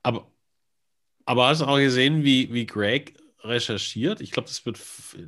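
A man's voice: medium pitch, normal speed, a bit bright. A man with a mid-range voice chats casually on a podcast. He comments in a joking, flippant way. His wording is loose and slangy.

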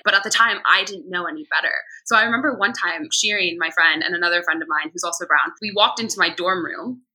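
A woman's voice: lowish voice, breathy edge, low-quality recording. A young American woman telling personal stories in a candid, conversational way as a podcast guest, often acting out what people said. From United States. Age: young adult. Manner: animated, quotes herself and others in anecdotes.

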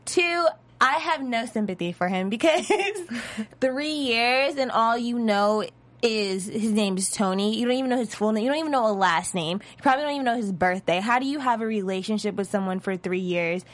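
A woman with a slightly high voice co-hosting a casual podcast. She is blunt and opinionated, stressing her points emphatically. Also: expressive, moderate pace. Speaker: podcast co-host.

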